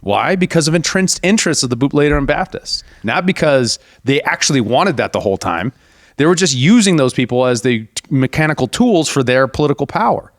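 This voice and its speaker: A man's voice: mid-range voice, animated, a little fast, no echo, studio quality. A man co-hosting a casual political podcast, explaining points at length and mocking opposing views with a raised voice. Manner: explanatory, argues in long runs, mocks opponents in raised voice. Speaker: podcast co-host.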